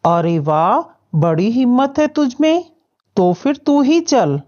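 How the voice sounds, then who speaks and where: A woman's voice: a little high, very animated, takes it slowly, darkish tone. A woman voicing a hungry male eagle in a cartoon, a taunting, threatening bully. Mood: menacing, mocking. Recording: dull, muffled lo-fi audio.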